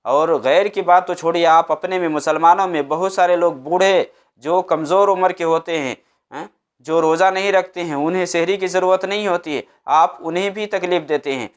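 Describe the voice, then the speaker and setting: A male Islamic preacher explains a religious ruling. His voice sits a little high and rings clean and resonant. He teaches emphatically, restating each point in short phrases. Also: moderate pace. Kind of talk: religious advice talk.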